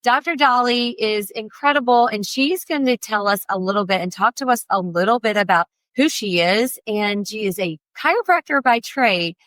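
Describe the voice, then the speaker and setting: A woman's voice: mid-range voice, moderate pace, few pauses, fairly bright tone, no room sound. A woman hosting a podcast, effusive and enthusiastic as she introduces and talks with her guest. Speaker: podcast host. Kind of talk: podcast interview.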